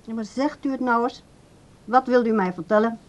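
An actress playing a controlling grown daughter in a radio drama, speaking in a brisk, formal, placating way. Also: female voice, on the high side, lo-fi sound, natural voice. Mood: politely evasive. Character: polite but evasive, controlling adult daughter.

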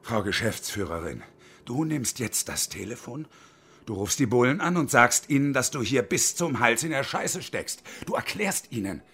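A man's voice: mid-range voice, very animated, moderate pace, fairly bright tone, breathy edge, full character voice. An actor in his fifties playing a bitter, sarcastic unemployed engineer turned hostage-taker in a radio drama, domineering and theatrical. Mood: threatening. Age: 50s.

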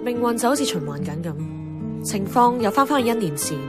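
A woman's voice: on the high side, sing-song, a little fast. An actress playing an outspoken young woman in a Hong Kong Cantonese radio drama, blunt and teasing, moving between dialogue and inner narration. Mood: urging, exasperated. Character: outspoken, headstrong young woman, a radio host chasing her dream. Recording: music under the voice.

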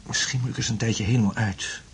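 A man acts a depressed, unsure man in a radio drama. His speech is hesitant and subdued, at an ordinary male pitch, with light noise on the old recording. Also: steady tone, a little fast, vocal fry, natural voice. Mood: groggy, troubled. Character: depressed, sleepless, recently separated man, hesitant and confused. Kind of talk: Dutch radio play acting.

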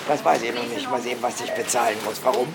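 An older woman with a hoarse, raspy voice, chatting candidly in short, brusque replies. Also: very deep voice, fairly even intonation, fast talker, very noisy. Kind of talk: candid street conversation.

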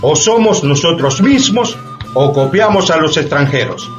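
A spirited male schoolmaster in a radio play. His highish voice swings expressively as he exhorts pupils, over faint music in a muffled recording. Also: lively intonation, measured pace. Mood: indignant, exhorting. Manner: didactic, rhetorical questioning. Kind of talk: radio theatre acting.

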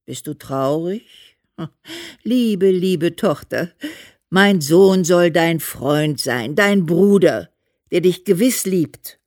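A woman's voice: lowish voice, expressive, slow, darkish tone, dry recording, studio quality. An actress playing an affectionate, demanding elderly mother in a German radio play, emphatic and coaxing. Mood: tender. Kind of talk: German radio play, letter read as speech.

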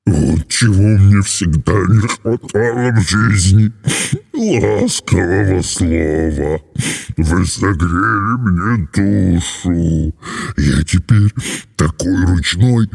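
A storybook dragon with a low, hoarse rumble. The creature drags its words out heavily, with a crackling, gravelly growl and big, raw emotion. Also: male voice, lively intonation, darkish tone, exaggerated voice. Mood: sobbing, moved. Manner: slow, drawling, bellowing and sobbing delivery. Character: grumpy, sullen old-fashioned dragon, secretly lonely and sentimental.

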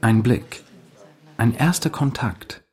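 A man's voice: mid-range voice, slow pace, a little mellow, husky. A man reading scripted documentary narration.

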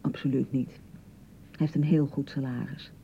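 A woman's voice: extremely low pitch, little pitch movement, a little slow, mellow, a little hiss or hum. A woman acting a touchy, hurt and unsure young newlywed bride in a radio drama, defensive and hesitant in manner. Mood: sulky, defensive. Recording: dull, slightly muffled 1990 radio broadcast.

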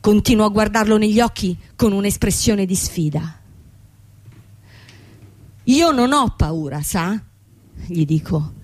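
An actress in a radio play playing a young company boss, bankrupt, desperate and defiant, telling her story with halting, repeated words. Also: mid-range voice, no room sound, natural voice. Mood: scared but defiant. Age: young adult.

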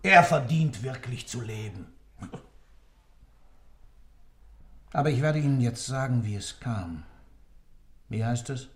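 A man's voice: lowish voice, lightly acted. A male actor playing a sly, proud old man under interrogation in a German radio play, speaking in a sardonic, condescending, theatrical way. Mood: scornful, impassioned. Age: older.

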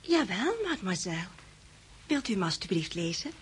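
A woman playing a persistent young milliner girl in a radio drama, with a husky, breathy voice. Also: on the low side, very animated, moderate pace, slight background noise. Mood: coaxing. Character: pretty young milliner girl delivering love letters, persistent.

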